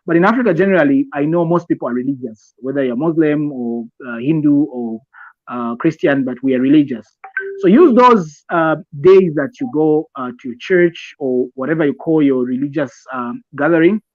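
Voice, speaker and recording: Higher-voiced Zambian man explaining haltingly. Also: a little mellow, no room sound, lo-fi sound. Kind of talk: conversational advice talk with personal examples.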